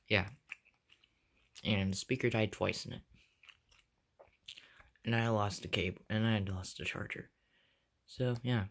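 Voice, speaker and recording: A young man with an American accent casually narrates a show-and-tell in short, offhand phrases, recorded on a handheld phone in a bedroom. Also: lowish voice. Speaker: hobbyist video maker. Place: small carpeted bedroom, indoors. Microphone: handheld phone behind the camera.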